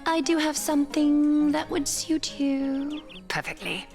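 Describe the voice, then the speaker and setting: An actress playing an elegant, composed, wealthy seamstress in a video game, speaking in a measured, knowing way. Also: female voice, high voice, expressive, soft background music. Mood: gracious, knowing. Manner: poised, measured, gently knowing.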